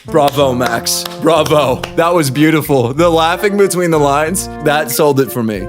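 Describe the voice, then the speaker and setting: A man's voice: fairly high pitch, moderate pace, music in the background. A man hosting casual reaction commentary and judging auditions in a playful, candid, teasing way. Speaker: video host judging voice auditions.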